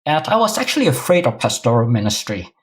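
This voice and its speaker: A man's voice: medium pitch, big pitch swings, fast talker. A middle-aged man with a Singaporean accent, answering interview questions conversationally as an academic guest. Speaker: guest, New Testament professor.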